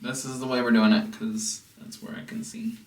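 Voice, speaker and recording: An American man telling a personal story as a casual vlog host, in a rambling, halting way. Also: mid-range voice, sing-song. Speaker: house music producer and DJ hosting a vlog. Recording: roomy, distant mic with background noise.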